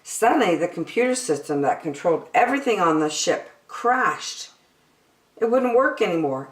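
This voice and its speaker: A woman reading a children's picture book aloud as a story-time host, with an expressive, dramatic delivery. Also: mid-range voice. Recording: echoey room.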